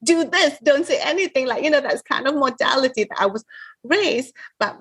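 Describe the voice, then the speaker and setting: A woman in her thirties from Malaysia, speaking as a podcast host in an animated, casual manner. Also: sits high, moderate pace. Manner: animated, many fillers and restarts, frequent laughs and backchannels. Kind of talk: casual interview chat.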